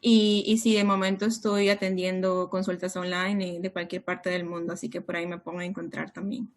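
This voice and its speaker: A young adult woman, a psychologist guest explaining things in a measured way in a podcast interview. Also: lowish voice, little pitch movement. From Peru, raised in Costa Rica.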